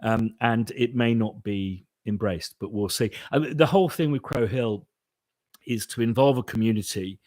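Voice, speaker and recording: A man's voice: lowish voice, moderate pace, a little mellow, no echo. A British man answering viewers' questions in a casual live chat, rambling with many restarts and fillers. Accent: British English. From Britain. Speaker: composer hosting a live Q&A.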